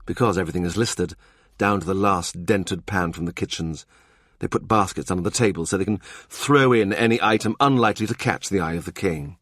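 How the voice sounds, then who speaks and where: A man narrates a historical novel in a low, steady voice. His delivery is measured and even, and the recording is dry and close. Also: no echo. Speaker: audiobook narrator.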